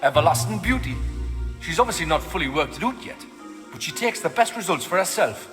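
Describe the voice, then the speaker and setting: An actor voicing a bitter, wry faceless undead mutant with a colloquial Geordie accent in a video game. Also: male voice, fairly high pitch, lively intonation, brisk, a bit bright. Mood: wry, scheming. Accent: Geordie English. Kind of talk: video game cutscene acting. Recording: long reverb, music behind.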